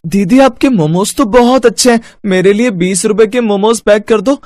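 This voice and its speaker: A man's voice: high-pitched, normal speed, darkish tone, a little hiss or hum, voice pushed up, lightly acted. A man voicing an eager little village child in a chirpy cartoon story voice. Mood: excited.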